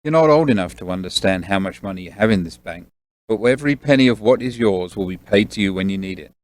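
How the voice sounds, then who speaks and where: A man playing a loyal, fatherly banker in an audio drama, speaking in a measured, reassuring, avuncular way. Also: on the low side, animated, a little mellow, lightly acted. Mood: reassuring, earnest.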